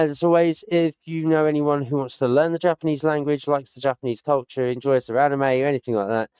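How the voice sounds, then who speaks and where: This is a British streamer in his late thirties, winding down a session with easygoing, meandering chat. His voice is smooth and clean, recorded dry with no room sound. His pitch sits at an ordinary middle level for a man. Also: dark tone, no echo. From United Kingdom. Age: 30s. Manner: rambling and chatty, with many fillers and stock phrases. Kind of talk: casual sign-off chat to viewers.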